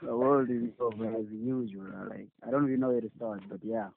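A man's voice: medium pitch, fairly even intonation, brisk. A young man from Zimbabwe, a rapper and producer, answering casually in a laid-back, mumbling way and swearing now and then. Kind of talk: casual interview. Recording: tinny phone-like audio.

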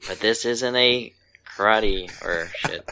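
A man's voice: lowish voice, takes it slowly, sharp tone, smooth, low-quality recording. A man co-hosting a podcast in casual banter, with a teasing, irreverent manner. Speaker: podcast co-host.

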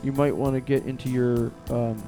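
A man's voice: medium pitch, fairly even intonation, normal speed, over music. A man co-hosting a podcast, talking bluntly with a lot of swearing and prodding his partner to keep going. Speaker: podcast co-host. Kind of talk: casual chat.

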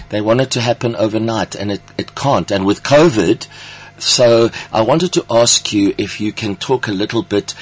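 A man's voice: lowish voice, husky, rough recording. A man hosting a conversational interview in an effusive, affirming manner. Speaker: interview host.

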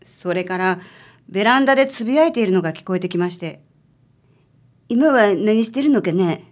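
A woman's voice: medium pitch, expressive, a little fast, natural voice. A woman playing a worried married client in a radio drama, speaking politely, hesitantly and apologetically. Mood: anxious recounting. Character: timid, worried married woman seeking detective's help.

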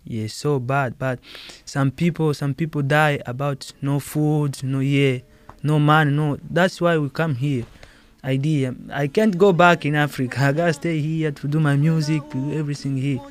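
A man with a Congolese accent answering interview questions in halting, non-native English, often repeating words and laughing. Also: a little high, fairly even intonation. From Democratic Republic of Congo. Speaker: guest musician.

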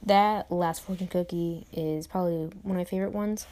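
A woman's voice: fairly low pitch, fairly even intonation, a little fast, dark tone. A young adult woman speaking to camera in a reflective motivational monologue, repeating phrases and tossing in conversational asides. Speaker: vlogger.

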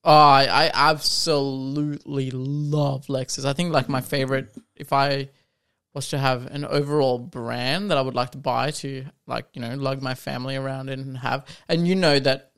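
A man's voice: medium pitch, fairly even intonation, normal speed, fairly bright tone, clear, resonant tone. A man co-hosting a casual car podcast, talking with enthusiasm, often jumping in and laughing. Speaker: podcast co-host. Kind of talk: casual chat.

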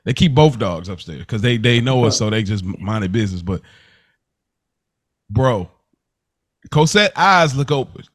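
A man hosting a casual podcast review of a TV show, talking in a slangy, joking, animated way. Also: lowish voice, unhurried, pauses often. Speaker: podcast host. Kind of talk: casual chat reviewing a TV series.

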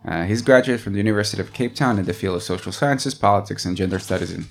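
A man's voice: fairly low pitch, fast talker, creaky. A man hosting an interview, introducing his guest and asking questions with many hesitations. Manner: hesitant, many fillers and restarts.

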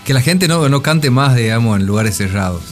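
A man chimes in briefly and casually on a radio panel. His voice is mid-pitched, with faint guitar music in the background. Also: expressive, brisk. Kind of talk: casual chat, brief interjections.

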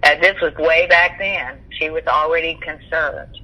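A woman's voice: sits low, a little slow, lo-fi sound. An older woman, reminiscing in a talkative, rambling, conversational way over the telephone. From Texas, United States. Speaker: interview guest sharing memories.

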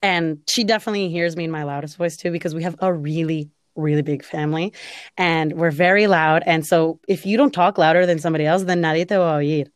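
Actress guest, woman from Los Angeles, lowish voice, casual storytelling, dry mic. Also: steady tone, fast, no room sound. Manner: casual, mixes Spanish phrases into English. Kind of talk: personal storytelling.

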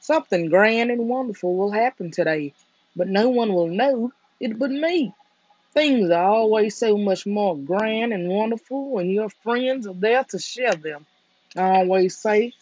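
A storyteller voicing a gentle, simple-minded male storybook bear, musing and earnest with a slight fret. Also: mid-range voice, measured pace, dry recording, muffled recording, close to an everyday voice. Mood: musing, hopeful.

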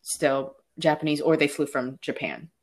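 Low-voiced young woman telling personal stories. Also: animated, normal speed. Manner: reflective, personal storytelling with fillers. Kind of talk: casual discussion.

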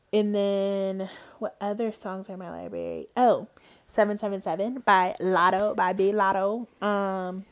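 Chatty Southern woman rambling casually. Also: mid-range voice. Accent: Atlanta Southern. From North Georgia, US. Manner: rambling, chatty, trails off with fillers. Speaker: podcast host. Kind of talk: casual chat.

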